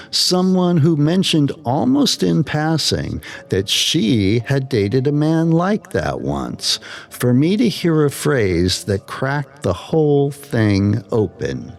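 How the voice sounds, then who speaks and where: A man narrating a scripted slow-burn horror story in a measured way with dramatic pauses. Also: medium pitch, gravelly.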